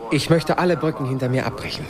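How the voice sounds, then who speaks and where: A man's voice: on the high side, fast talker, crowd noise, lightly acted. An actor playing a naive, dreamy man wanting to emigrate into space, earnest and wide-eyed, in a radio satire.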